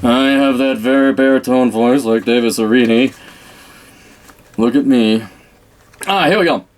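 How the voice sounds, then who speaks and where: A man's voice: mid-range voice, unhurried. A man talking straight to camera in a blunt, sarcastic rant, swearing freely and rambling with restarts. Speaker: vlogger giving blunt career advice. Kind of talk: casual monologue to camera.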